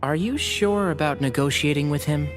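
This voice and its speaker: A man playing a calm, analytical negotiator in a fantasy game, frank and composed. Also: fairly high pitch, moderate pace, music in the background, natural voice. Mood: concerned.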